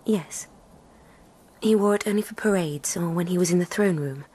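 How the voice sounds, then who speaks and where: An actress playing an anxious, defensive lady of the court in a radio drama, breathy and guarded. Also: fairly low pitch, moderate pace, dark tone. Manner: formal, guarded.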